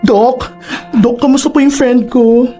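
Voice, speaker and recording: A voice actor playing a flamboyant, gossipy office friend who talks loudly and dramatically, in a radio drama. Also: medium pitch, fairly even intonation, measured pace, faint music behind, lightly acted. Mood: anxious. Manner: chatty, teasing, shrieks in panic.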